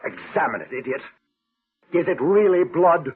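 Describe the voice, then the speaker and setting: Old radio villain: arrogant illusionist, highish voice, sweeping intonation, tinny noisy recording. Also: male voice, very animated, normal speed. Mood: scornful. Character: scornful, arrogant male stage illusionist and suspected criminal. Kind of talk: 1940s-50s radio suspense acting.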